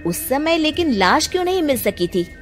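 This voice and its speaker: A woman's voice: high voice, very animated, normal speed, soft background music. Woman as an earnest, respectful police inspector. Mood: probing, respectful. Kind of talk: Hindi animated moral story dialogue.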